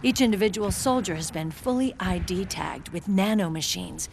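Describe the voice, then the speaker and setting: An actress voicing a composed young woman military commander in a video game cutscene, measured, serious and articulate. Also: fairly low pitch, creaky, noisy background. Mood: composed, businesslike. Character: composed military unit commander, grown woman, wounded pride. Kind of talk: dramatic video game cutscene acting, expository. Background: music behind the voice.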